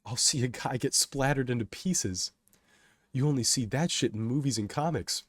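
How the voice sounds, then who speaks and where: A man's voice: medium pitch, normal speed, fairly bright tone, slightly rough, no room sound, pitched above the performer's own voice. A man voicing a selfish, cynical, easily panicked young man, protesting and incredulous, in a dramatic story retelling. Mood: smirking disbelief.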